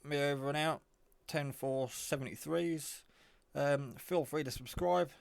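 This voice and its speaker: A man giving a casual, off-the-cuff product demo, rambling in a low-key way as he thinks aloud. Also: measured pace, gravelly, smooth, dry recording. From England. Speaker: hobbyist reviewer.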